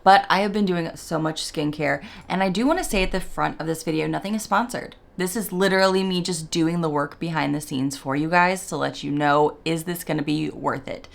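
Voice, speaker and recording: Raspy, low-voiced woman chatting casually. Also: a little fast, hardly any pauses. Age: 40s. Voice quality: raspy morning voice. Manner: rambling, chatty, self-interrupting. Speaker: beauty vlogger. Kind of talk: casual chatty product review. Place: home beauty room.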